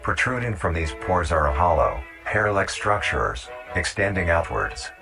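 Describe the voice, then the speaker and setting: Very low male voice, scripted documentary narration, measured, instructional, clear music behind. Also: sing-song. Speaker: narrator of a horror nature-guide video.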